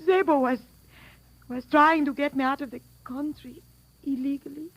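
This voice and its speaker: Casting: frightened foreign woman in hiding, very high voice, hesitant formal speech, pleading, vintage radio drama. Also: fairly even intonation, normal speed, dry recording, rough recording. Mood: anxious, confessing. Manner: hesitant, breathless repetitions, formal English. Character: anxious foreign female fugitive, pleading and guarded. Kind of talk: 1940s-50s radio drama acting.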